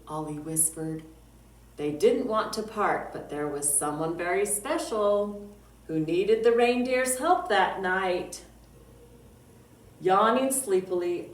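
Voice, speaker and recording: A woman reading a picture book aloud to young children as a storytime librarian, with drawn-out words and dramatic emphasis. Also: fairly low pitch. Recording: roomy echo, distant mic, faint noise.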